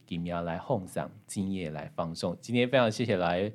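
A man's voice: low voice, fairly quick. A man with a Taiwanese Mandarin accent, hosting a conversational book interview on a podcast.

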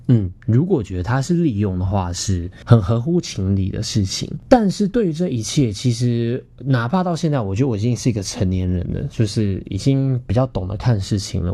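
A man's voice: medium pitch, warm tone. A young man with a Taiwanese Mandarin accent, hosting a podcast and telling a personal story expressively and conversationally, often turning to address his listeners. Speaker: podcast host. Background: background music, guitar.

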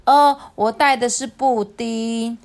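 A woman's voice: medium pitch, sing-song, slow, pitched above the performer's own voice. A woman voicing an eager, helpful little pumpkin boy in a children's storybook, exclaiming in a childlike way. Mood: happy.

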